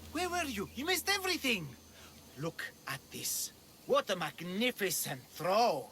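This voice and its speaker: A man's voice: high-pitched, very animated, measured pace, pushed and bright, a little hiss or hum, full character voice. An actor playing a jovial, scheming male betting man in a video game. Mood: pleased. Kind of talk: video game dialogue acting.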